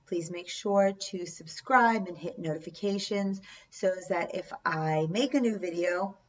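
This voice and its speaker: A woman's voice: lowish voice, a bit bright. A middle-aged woman who is losing her voice hosts her own channel and tells a true haunting story in a chatty, earnest way with small asides. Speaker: channel host. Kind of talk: storytelling vlog. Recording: distant, dull lo-fi sound.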